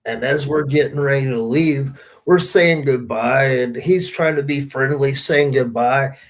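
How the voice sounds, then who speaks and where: A man's voice: medium pitch, a little slow. A man hosting a podcast in casual chat, telling anecdotes in an informal, emphatic, sweary way. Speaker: podcast host.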